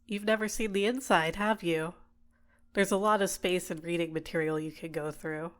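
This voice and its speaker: A woman performs a manipulative villainess for an ASMR roleplay. Her voice sits on the low side, soft and deliberate, soothing her captive with possessive tenderness and gentle coaxing. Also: fairly even intonation, close to an everyday voice. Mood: warm, coaxing. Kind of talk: ASMR roleplay, intimate personal attention.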